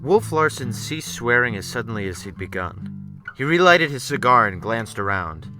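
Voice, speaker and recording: A man narrating a classic novel as a dramatic audiobook, with deliberate, expressive phrasing. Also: medium pitch, music in the background. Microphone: close USB desktop microphone.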